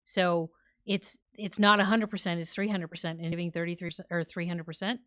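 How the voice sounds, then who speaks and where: A woman's voice: fairly low pitch, little pitch movement, fairly quick, a bit bright, dry recording, dull audio. A woman co-hosting a book club podcast, talking casually in an analytical, questioning way.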